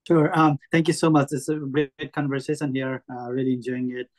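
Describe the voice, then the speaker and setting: A man's voice: medium pitch, fairly even intonation, fairly quick. A man from Nepal with a Nepali accent, asking a question as an audience member, hesitant and full of fillers. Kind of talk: spontaneous question.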